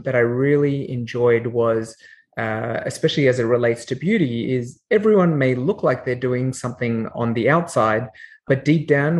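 An Australian man speaking in a middle pitch as a podcast guest. He explains at a measured pace and often pauses on fillers. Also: darkish tone. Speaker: guest, company CEO. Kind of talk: podcast interview.

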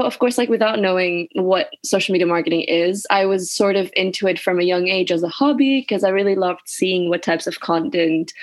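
A woman in her twenties from Greece, a guest in an interview, talking casually with many "like" and "um" fillers. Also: lowish voice. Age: 20s. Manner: chatty, lots of "like" and "um" fillers. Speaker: guest, agency founder.

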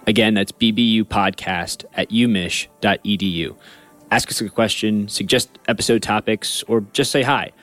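A man's voice: on the low side, normal speed, a bit bright, soft background music. A man co-hosting a podcast, reading listener mail and asking questions with a chatty, filler-heavy manner. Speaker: podcast co-host.

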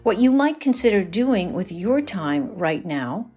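A woman's voice: mid-range voice, sing-song, measured pace, mellow, soft background music. A woman hosting a podcast, asking prepared questions in a conversational interview style. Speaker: podcast host.

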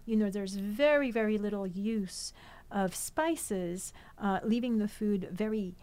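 A woman who grew up in France, talking in a relaxed interview about food and language. She is chatty and loose, full of fillers and restarts, and her pitch sits in an ordinary middle range. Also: measured pace. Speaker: cookbook author guest. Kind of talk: casual interview chat.

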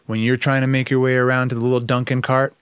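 Male podcast host, American, conversational and casual, medium pitch, recorded dry and close. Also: little pitch movement, quick pace, clean tone, no room sound. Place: in a room with the guest.

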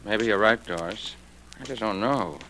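Bright-voiced affectionate young fiancé. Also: male voice, on the low side, moderate pace. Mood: tender. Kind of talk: 1940s radio acting. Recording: faintly noisy old radio recording.

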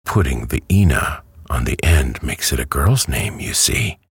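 A man's voice: very deep voice, sing-song, measured pace, creaky, slightly rough, dry recording, clean studio sound, exaggerated voice. A man reading a storybook aloud who voices a polite, earnest little girl. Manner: earnest, well-mannered, eager.

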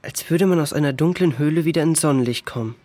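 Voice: medium pitch, fast talker, dark tone, lightly acted. A performer playing a curious, thoughtful youngster in a children's audio drama, speaking colloquially. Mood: wondering. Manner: colloquial, pondering.

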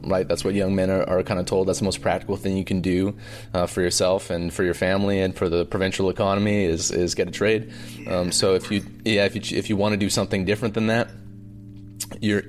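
A Canadian man speaks as a filmmaker being interviewed. His voice is deep, and he talks in a reflective, halting way, often searching for the right word. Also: steady tone, slight background noise. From Alberta, Canada. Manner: hesitant, halting, searching for words. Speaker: documentary filmmaker, interview guest.

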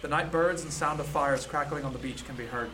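A man's voice: fairly high pitch, a little fast, noisy background, natural voice. A man narrating scenes in a scripted audio drama.

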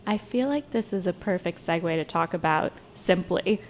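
A woman's voice: fairly low pitch, normal speed. Enthusiastic woman podcast host. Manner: enthusiastic, encouraging, frequent backchannels. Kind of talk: podcast interview.

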